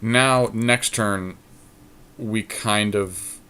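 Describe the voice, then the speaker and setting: A man's voice: lowish voice, extremely slow, pushed and bright, clean tone. A man co-hosting a podcast, giving casual commentary on a card game as he thinks his moves out aloud. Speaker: podcast co-host playing an online card game.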